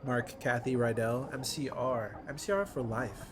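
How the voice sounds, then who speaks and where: A man's voice: mid-range voice, a little slow, noisy background. A man giving casual, slangy playthrough commentary on a game as a streamer. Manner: informal slangy fan talk. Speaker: gaming streamer reacting to a visual novel. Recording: music playing behind the voice. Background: clear background music.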